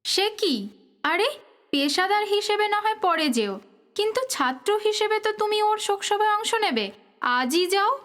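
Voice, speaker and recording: Caring, frank wife, extremely high-pitched voice, familiar and chiding, radio play. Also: female voice, animated, moderate pace, fairly bright tone. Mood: urging. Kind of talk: audio drama acting.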